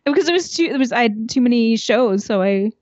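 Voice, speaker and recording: A woman's voice: a little high, expressive, a little fast, darkish tone, no room sound, dull audio. A woman chatting casually as a podcast guest, giggly, self-mocking and free with swearing. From Canada. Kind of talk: casual chat.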